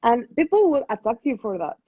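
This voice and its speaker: A woman from Mexico with a Mexican accent, a guest in a casual conversation, pausing to search for her words. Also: a little high, very animated, husky, no echo, muffled recording. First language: Spanish. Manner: thoughtful, searching for words with fillers.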